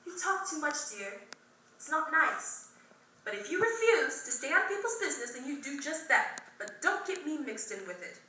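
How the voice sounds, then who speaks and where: A woman's voice: sits high, sing-song, moderate pace, pushed and bright, full character voice. A woman performing a stage monologue as a stern but loving proper sister, emphatic and scolding, in an echoey room. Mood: exasperated scolding. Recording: echoey room from far off, noisy.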